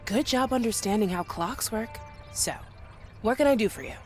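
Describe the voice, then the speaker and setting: An actress playing a sardonic, tech-savvy young programmer in a video game, blunt and teasing with a dry manner. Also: female voice, fairly high pitch, animated, normal speed, soft background music, close to an everyday voice. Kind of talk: video game character dialogue.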